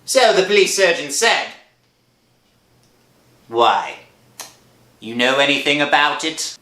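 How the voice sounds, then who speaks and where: Woman acting bright, offhand police officer. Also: sits low, sing-song, slow pace, heavily acted. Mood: matter-of-fact. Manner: offhand, hesitant, wry. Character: casual, skeptical police officer on night duty. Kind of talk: old-time radio drama acting. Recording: echoey room, faint music behind.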